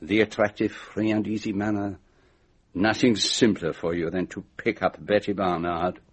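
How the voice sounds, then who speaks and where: Methodical detective, deep-leaning voice, husky, deliberate, formal, accusing, radio mystery. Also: male voice, flat delivery. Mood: accusing, confident. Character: shrewd, methodical male detective laying out his case. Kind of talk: radio mystery drama acting.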